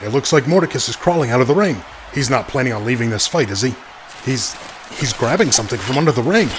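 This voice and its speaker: A man's voice: mid-range voice, animated, quick pace, lightly acted. A man playing an earnest ringside announcer, calling a fictional wrestling match play by play. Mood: tense narration. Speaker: wrestling commentator. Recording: very noisy background.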